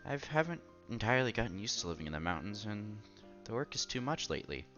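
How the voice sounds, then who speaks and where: A man with a fairly low, bright, forward voice reads a visual novel aloud in a casual let's-play style. He trips over words and corrects himself, over faint music on a slightly dull mic. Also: big pitch swings, fast. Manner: stumbles over words while reading, self-correcting. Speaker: host reading a visual novel.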